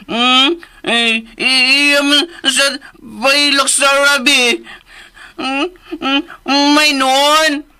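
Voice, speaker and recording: A man acting in a radio drama as a childlike, simple-minded man devoted to his doll, speaking in halting, stammering phrases. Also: very high voice, little pitch movement, bright, forceful tone, heavily acted. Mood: simple, hesitant. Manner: slow, halting, stammering speech.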